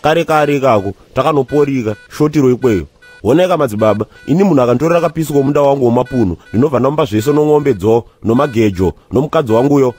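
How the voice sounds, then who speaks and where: Man playing a husky, breakneck Zimbabwean patient. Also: mid-range voice, lively intonation, very fast, a little mellow, soft background music. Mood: aggrieved rambling. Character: bewildered, aggrieved male patient, comically rambling husband. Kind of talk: animated comedy dialogue.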